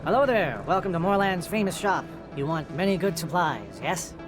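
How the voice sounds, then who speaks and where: A man playing an eager, deferential alien shopkeeper in a video game, speaking in halting, inverted phrases. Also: high-pitched, animated. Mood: eager, welcoming. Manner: halting, inverted broken syntax. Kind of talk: video game character acting. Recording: music behind the voice.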